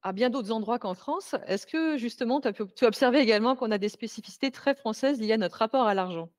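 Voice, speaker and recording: A woman's voice: fairly high pitch, quick pace. A woman hosting an interview, asking questions and commenting in fluent, prepared-sounding phrasing. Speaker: interview host, coach for women entrepreneurs.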